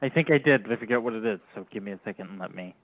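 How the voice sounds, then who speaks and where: A man's voice: fairly low pitch, rapid-fire. A man playing a tabletop role-playing game, chatting casually and bluntly. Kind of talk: casual chat. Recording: thin phone-like voice-chat audio, dry.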